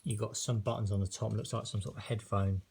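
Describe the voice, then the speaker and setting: A man's voice: lowish voice, level tone, fast, vocal fry. A man giving a casual, informal unboxing and review commentary in a tentative, unscripted way. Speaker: product reviewer. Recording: noisy recording with handling clicks.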